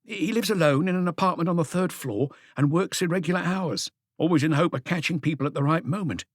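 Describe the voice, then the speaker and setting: A man voicing an excitable, eager police inspector who reports deferentially to his boss in an audiobook. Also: fairly high pitch, fast talker, no echo, clean studio sound, voice pushed up, close to an everyday voice. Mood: eager, reporting.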